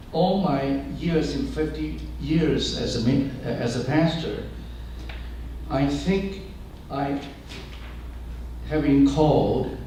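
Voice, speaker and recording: Slow, halting older man pastor. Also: medium pitch, mellow, heavy reverb, distant mic, slight background noise, rough recording. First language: Chinese. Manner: halting, searches for words with many fillers. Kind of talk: speech to an audience. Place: large room with an audience.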